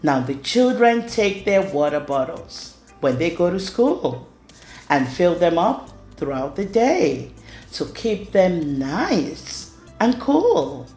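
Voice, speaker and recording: Very slow, lively woman reading a children's story. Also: fairly low pitch. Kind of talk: expressive rhyming read-aloud for children. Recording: roomy echo with music underneath. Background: music.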